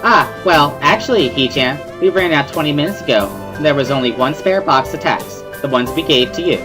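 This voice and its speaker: Man putting on a high bubbly schoolgirl. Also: lively intonation, fairly quick, close to an everyday voice. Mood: chatty, explaining. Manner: playful, cheery, exclaiming. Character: bubbly, giggly, energetic teenage schoolgirl. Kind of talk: amateur dramatic reading of a visual novel. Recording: music behind the voice.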